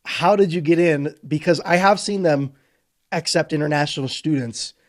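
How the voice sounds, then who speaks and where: A man hosts an interview and asks his guest questions in an encouraging way. His voice sits a bit higher than most men's. He sounds keen and upbeat. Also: normal speed. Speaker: host. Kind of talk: casual interview.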